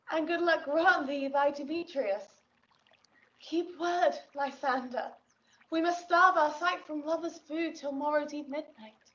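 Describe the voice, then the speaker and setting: A young noblewoman in love in a classical audio drama. Her voice is high, tender and lyrical in theatrical verse, heard at a distance through slightly muffled playback. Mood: fond farewell.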